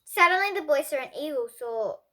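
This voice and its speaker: A young girl reading a children's bedtime story aloud in an expressive way. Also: sits high, a little fast. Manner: expressive, with emphatic character dialogue.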